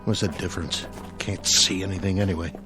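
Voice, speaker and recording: An actor in a radio drama playing a sullen, weary soldier stranded on a desert planet, grumbling and defeatist. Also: male voice, sits low, fairly even intonation, fairly quick, music in the background, close to an everyday voice.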